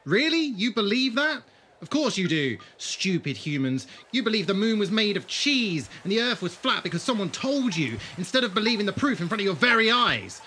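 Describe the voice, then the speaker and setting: Audio drama lead: male actor as defiant alien leader, sarcastic and commanding, bright delivery with big swings in pitch. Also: sing-song, normal speed, a little hiss or hum, heavily acted. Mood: scornful, angry. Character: defiant, scornful alien leader who takes charge. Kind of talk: fan audio drama acting.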